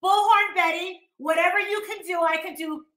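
A woman's voice: very high voice, fairly even intonation, moderate pace, fairly bright tone, roomy sound. A middle-aged American woman ranting casually on a livestream in a blunt, scornful, sweary manner. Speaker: YouTube host. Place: living room at home, indoors.

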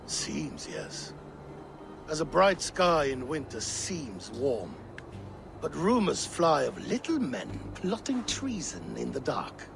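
An actor playing a weary, suspicious middle-aged Viking warlord in a video game, grave and brooding. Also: male voice, a little high, wildly swooping pitch, creaky, noisy background, exaggerated voice. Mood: bitter, suspicious. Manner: grave, brooding, deliberate phrasing. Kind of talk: dramatic video game acting.

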